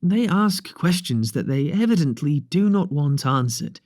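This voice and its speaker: A man's voice: a little high, animated, a little slow, darkish tone, dry recording, clean studio sound. A man reading aloud, voicing a bewildered, earnest male angel who speaks formally and carefully. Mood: puzzled. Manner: puzzled, formal, careful speech.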